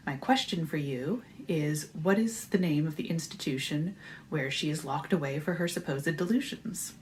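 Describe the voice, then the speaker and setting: A woman's voice: deep voice, moderate pace, a little mellow, slight background noise. A woman speaking as an author, presenting a trivia question in a prepared talk to viewers.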